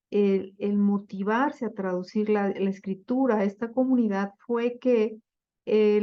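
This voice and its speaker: Woman, lecture voice, slow, deliberate, fillers and restarts, slightly dull audio. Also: medium pitch. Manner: slow and deliberate, with many fillers and self-corrections. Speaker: lecturer on Bible translation. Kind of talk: slide-based lecture.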